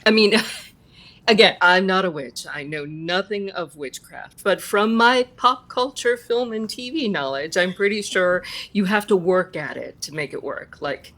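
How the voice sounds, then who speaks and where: A woman acting in an audio drama as a calm, reassuring support group leader who gently questions and encourages. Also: lowish voice, unhurried. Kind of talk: conversational audio drama acting, live recording.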